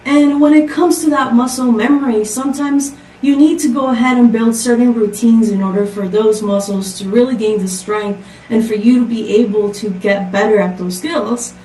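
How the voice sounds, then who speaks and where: A woman's voice: fairly high pitch, steady tone, normal speed, few pauses, warm tone. A woman from Costa Rica giving a prepared tech conference talk, upbeat and full of rhetorical questions. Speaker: conference speaker. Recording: echoey, distant from the mic.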